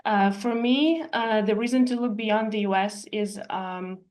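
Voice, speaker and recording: A woman's voice: mid-range voice, a little mellow. Woman presenting. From Ukraine. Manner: measured, explanatory, with frequent hesitations. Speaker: architect and real estate investor presenting. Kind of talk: presentation walking through slides.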